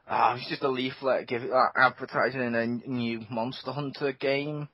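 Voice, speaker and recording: A man co-hosting a casual gaming podcast, excitable and laughing often, with sudden high squeals. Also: normal speed, breathy edge, no room sound. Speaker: podcast co-host. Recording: dull lo-fi home podcast mic.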